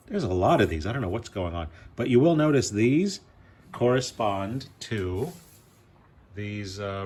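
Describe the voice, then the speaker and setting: A man casually talking through an unscripted gear demonstration in a rambling, self-interrupting, conversational way. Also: low-pitched. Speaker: vintage tape recorder reviewer. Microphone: handheld camera. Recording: loud electrical buzz and hum. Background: steady loud buzz from tape machine.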